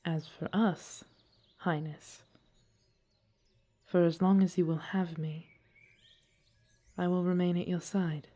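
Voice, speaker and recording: A woman's voice: lowish voice, steady tone, takes it slowly, long pauses, warm tone. A woman playing an earnest, devoted dragon princess and commander in an audio drama, speaking in solemn, formal phrases. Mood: tender. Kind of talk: scripted audio roleplay drama.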